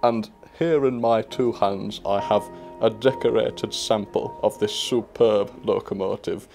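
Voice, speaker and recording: Awkward, pompous-sounding train company spokesman played by a man, gravelly, halting, for a spoof promo. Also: on the low side, steady tone, darkish tone, faint music behind. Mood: proud, formal. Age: young adult. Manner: halting, stilted, overly formal. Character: awkward, solemn male model train company spokesman in mockumentary. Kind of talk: mock promotional interview. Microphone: lapel mic.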